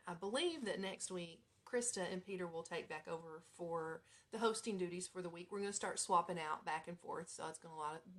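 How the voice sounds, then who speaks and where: An American woman hosting a casual live radio show, speaking in an informal, chatty manner as she moves between reading items and talking to listeners. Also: fairly low pitch. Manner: informal, chatty, breaks into giggles and asides.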